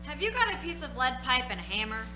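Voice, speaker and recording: A woman's voice: high voice, sing-song, quick pace, over music. A woman acting an impatient, secretive little girl in a radio play. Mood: eager, brisk.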